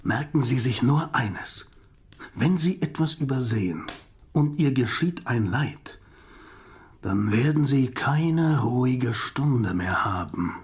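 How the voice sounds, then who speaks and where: A man acting a grave, commanding older professor in an audio drama, solemn, urgent and deliberate, with a husky voice. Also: mid-range voice, animated. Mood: grave warning.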